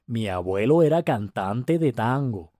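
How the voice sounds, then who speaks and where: A man's voice: mid-range voice, lively intonation, a little slow, warm tone, no room sound, studio quality, close to an everyday voice. A man voicing a polite, thoughtful male museum visitor in a storybook reading. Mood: polite, friendly.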